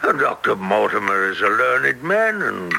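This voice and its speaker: A man playing an excitable, eccentric naturalist with a sinister edge and hesitant starts, in old radio drama style. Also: fairly low pitch, measured pace, gravelly, full character voice. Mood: smooth, reflective. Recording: faintly noisy old radio recording.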